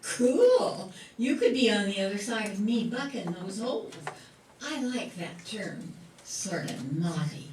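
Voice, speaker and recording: A woman's voice: mid-range voice, big pitch swings, unhurried, a little hiss or hum. A woman playing a proud, chatty young 1940s munitions worker in an amateur radio play. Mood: chatty, playful. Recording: echoey room, distant mic, faint music.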